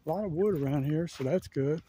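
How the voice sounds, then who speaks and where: A man's voice: on the high side, brisk, dark tone, breathy edge. Chatty man on an outdoor walking tour. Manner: chatty, thinking aloud, many fillers. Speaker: vlogger giving a walking tour. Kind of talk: casual walking-tour narration. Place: outdoors at a wooded campground. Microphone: handheld camera while walking.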